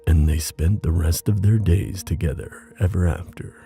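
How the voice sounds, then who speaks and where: Male ASMR boyfriend storyteller: very low, gravelly, unhurried, intimate and soothing, soft music underneath. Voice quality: deep. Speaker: ASMR boyfriend roleplay performer.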